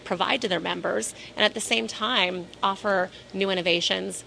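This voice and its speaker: Hoarse, gravelly woman with a bright, forceful tone, measured and businesslike, interviewed at a conference with faint ambience behind her. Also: lowish voice, slight background noise, rough recording. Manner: measured, businesslike, slows to stress points. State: hoarse from talking a lot. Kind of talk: casual interview. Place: at a conference in New York City.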